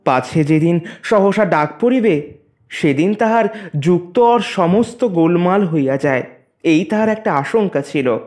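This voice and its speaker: A man narrating a literary story in a measured, expressive, formal reading. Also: high voice.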